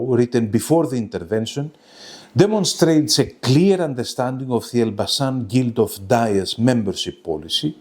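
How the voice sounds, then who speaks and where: A man giving a scripted academic presentation in a formal, bookish way, reading out quotations as a lecturer would. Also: medium pitch.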